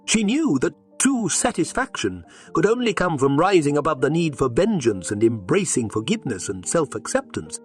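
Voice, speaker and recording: A man narrating a scripted dramatic story in a measured, expressive read-aloud delivery. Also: a little high, soft background music. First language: English.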